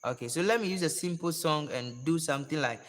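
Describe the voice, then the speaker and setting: A hoarse man giving a relaxed music lesson to singers, repeating his advice in an unhurried, gentle way. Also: on the high side. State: hoarse, voice worn out. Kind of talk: casual spoken tutorial. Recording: long reverb with music playing behind.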